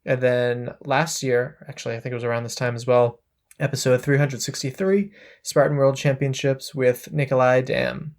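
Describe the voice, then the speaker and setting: A man's voice: medium pitch, fairly even intonation, normal speed. A man hosting a podcast, chatting casually in an easygoing, upbeat way. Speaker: podcast host. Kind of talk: casual podcast chat and outro.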